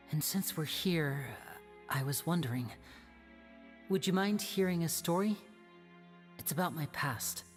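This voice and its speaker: Gentle young magician boy, low, reverberant. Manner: soft-spoken, sincere, storytelling. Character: gentle, earnest young male orphan stage magician. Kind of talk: anime game voice acting.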